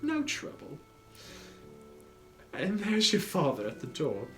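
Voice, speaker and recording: A man voicing a tender, grieving working-class mother in a dramatic storybook, high-pitched and fragile, with music behind. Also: wildly swooping pitch, slow, full character voice. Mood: wistful.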